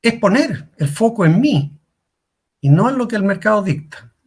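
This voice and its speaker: A man's voice: sits high, very animated, normal speed, a little mellow, breathy edge. A middle-aged man giving a career workshop talk as a coach, asking rhetorical questions and repeating key lines for emphasis. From Chile.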